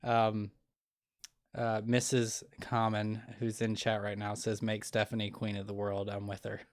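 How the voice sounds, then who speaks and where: A man's voice: fairly low pitch, completely flat delivery, unhurried. A man hosting a casual interview show, talking earnestly with many restarts and fillers. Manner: earnest, opinionated. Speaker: podcast host.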